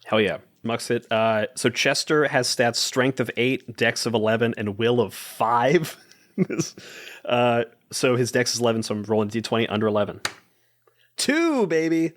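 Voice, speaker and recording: A man with an average-pitched voice takes part in a tabletop game as a player. He talks casually and improvises, describing what his characters do in colloquial terms. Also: expressive, normal speed.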